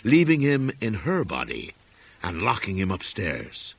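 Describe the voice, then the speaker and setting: A male audiobook narrator with a lowish, husky voice reads a horror story. His delivery is dramatic and literary, with animated rises and falls in pitch. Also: measured pace.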